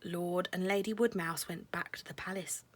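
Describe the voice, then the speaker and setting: A woman narrating a children's storybook in a measured, expressive way, letting phrases end softly. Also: fairly low pitch, a little hiss or hum.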